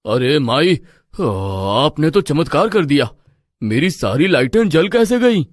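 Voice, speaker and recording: A man's voice: a little high, big pitch swings, a little slow, no echo, studio quality. An actor playing an arrogant, wealthy middle-aged village man in a storybook tale, with an emphatic, dismissive manner. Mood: astonished.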